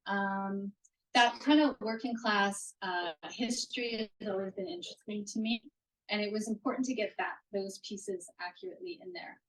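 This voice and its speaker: A woman's voice: medium pitch, moderate pace, poor audio. A woman author answering questions at a live bookstore reading, rambling with restarts, speaking into a handheld mic. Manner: rambling, warm, with restarts and fillers. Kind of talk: casual live Q&A at a book reading. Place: bookstore event room. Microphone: handheld microphone.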